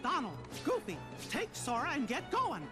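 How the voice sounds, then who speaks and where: Casting: little mouse king, high and very animated falsetto, kindly leader, game cutscene. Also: normal speed, exaggerated voice. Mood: decisive, reassuring. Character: small, high-voiced cartoon mouse king, kindly and decisive. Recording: music behind, quiet game playback.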